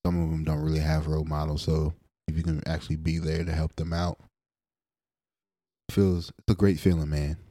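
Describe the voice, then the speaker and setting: A man's voice: a bass voice, level tone, brisk, pauses often, dark tone, no echo. A man in his late twenties, co-hosting a podcast in a laid-back, slangy, teasing way. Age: 20s. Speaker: podcast co-host. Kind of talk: casual chat.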